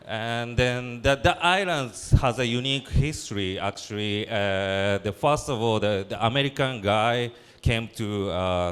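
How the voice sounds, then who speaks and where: Halting Peruvian-born man presenting his plays. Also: on the low side, clean tone. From Peru. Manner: halting, many fillers and restarts. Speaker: playwright and theater director. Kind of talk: symposium presentation.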